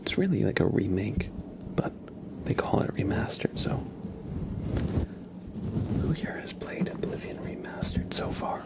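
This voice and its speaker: A man hosting a live ASMR stream, chatting casually and haltingly in a soft, breathy whisper with a relaxed, musing manner. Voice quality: soft, breathy whisper with some creaky low voicing. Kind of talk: whispered ASMR livestream chat.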